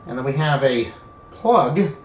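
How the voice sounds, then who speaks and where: A man's voice: medium pitch, lively intonation, highs cut off. Man talking through a repair, echoey room. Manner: unhurried thinking aloud, trailing pauses. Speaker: hobbyist video presenter. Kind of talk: unscripted hands-on repair walkthrough. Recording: echoey room with faint background noise.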